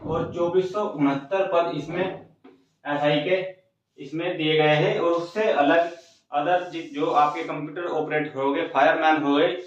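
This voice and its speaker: High-voiced man in a very echoey room. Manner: explanatory, repeats points, addresses viewers directly. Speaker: host of a small exam-news channel. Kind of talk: informal recruitment news update to viewers. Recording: very echoey room, distant mic, dull sound.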